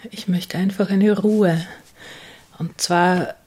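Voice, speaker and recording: A middle-aged Austrian woman speaks casually in an interview. Her voice is fairly low and husky, and she takes her time, pausing to think aloud as she goes. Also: steady tone, a little mellow. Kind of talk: casual, spontaneous interview answer.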